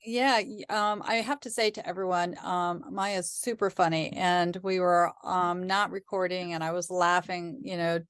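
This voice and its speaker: A woman's voice: fairly low pitch, fairly even intonation, moderate pace, hardly any pauses, a bit bright, smooth, no room sound. Chatty woman host, dry close sound. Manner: friendly, chatty, filler-laden. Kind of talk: casual podcast chat.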